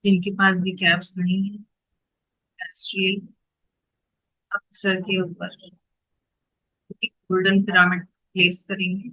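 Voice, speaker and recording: Even-toned meditation guide, dull recording. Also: medium pitch, no echo. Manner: unhurried pauses between short phrases.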